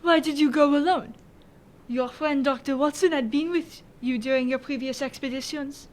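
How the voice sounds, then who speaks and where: A woman plays a stern French prefect in a radio drama. The voice is high, and it questions with formal authority in short, clipped phrases. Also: moderate pace, lightly acted. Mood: probing.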